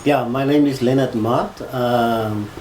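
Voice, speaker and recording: A man's voice: mid-range voice, steady tone, slow pace, darkish tone, slight background noise. A man in his fifties with a South African accent, talking in a loose, conversational way with many fillers. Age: 50s. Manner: conversational, rambling, full of fillers like "sort of". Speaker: interviewee, attorney. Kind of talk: casual oral-history interview.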